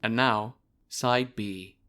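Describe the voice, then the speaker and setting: A man's voice: on the low side, steady tone, slow pace, sharp tone, clear, resonant tone. A man hosting a podcast, reading a scripted sponsor message in a casual, wry, conversational way.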